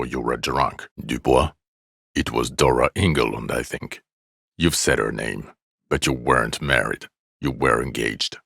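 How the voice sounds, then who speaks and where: An actor playing a jaded, bitter police detective in a video game, speaking with blunt, weary, deadpan sarcasm in a gravelly voice. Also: male voice, rumbling low, no echo, clean studio sound, exaggerated voice. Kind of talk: dramatic video game dialogue.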